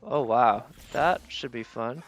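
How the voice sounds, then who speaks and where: A man's voice: mid-range voice, slow. A man doing casual live game commentary, thinking aloud and trailing off as he goes. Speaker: game streamer.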